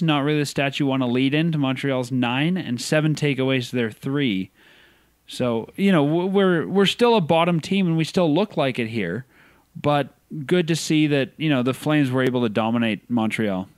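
A Canadian man co-hosting a podcast, chatting casually and reacting quickly to his co-host. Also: fairly high pitch, no room sound, clean studio sound. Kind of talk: casual sports chat.

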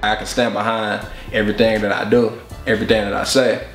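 A man's voice: on the low side, flat delivery, fairly quick. A man in his twenties, a rapper talking casually and reflectively in an interview. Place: living room couch indoors. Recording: slightly roomy indoor sound, faint music behind.

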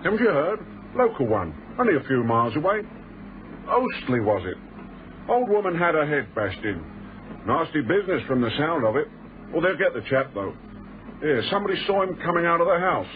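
A man's voice: fairly high pitch, big pitch swings, fairly quick. Thin, phone-like old radio sound with some noise carries a British commercial traveller. He is hearty, talkative and far too familiar, with a faintly sinister edge under the matey charm. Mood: insinuating, relish. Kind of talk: radio drama acting. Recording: thin band-limited old radio recording, noisy.

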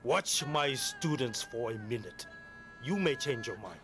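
An actor playing a calm, teacherly older male martial arts master who probes with questions. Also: medium pitch, a little slow. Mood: probing. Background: game score music.

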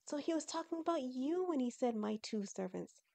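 A woman's voice: high voice, expressive, moderate pace, creaky, warm tone, voice pushed up, full character voice. A woman voicing a plain-spoken, thoughtful male outsider in an audio story, reasoning in a matter-of-fact way. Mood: realizing.

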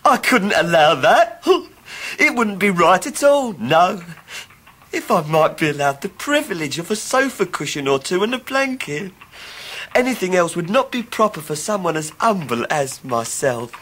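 A man's voice: sits high, sing-song, moderate pace, fairly bright tone, full character voice. A man playing a falsely humble, scheming young clerk in a radio drama, with an obsequious, self-abasing manner. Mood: servile. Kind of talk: 1990s radio drama acting.

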